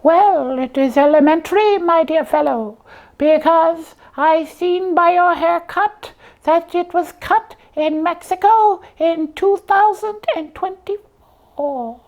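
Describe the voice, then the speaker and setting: Puppet detective: extremely high voice, proud, fussy, bossy, proper delivery for comic puppet dialogue. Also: female voice, slow pace, a little mellow, lo-fi sound, exaggerated voice. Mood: self-important.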